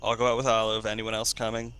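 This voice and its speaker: A low but bright, forceful male voice with little change in pitch. He plays a tabletop-style survival game over voice chat in a practical, challenging way. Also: completely flat delivery, fast talker, smooth. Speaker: tabletop role-play player. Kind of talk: improvised role-play over group voice chat. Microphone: online voice chat.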